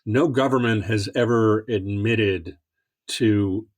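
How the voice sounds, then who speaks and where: A man hosting a conversational interview, phrasing his questions and reflections in a measured, thoughtful way. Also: lowish voice. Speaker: podcast host.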